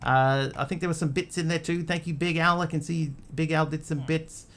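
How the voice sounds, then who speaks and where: A man's voice: on the high side, fairly even intonation, a little fast, a bit bright, slight background noise. A man who grew up in Australia, chatting casually to his stream audience in a rambling, hesitant way with lots of ums and restarts. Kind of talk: casual live-stream chat.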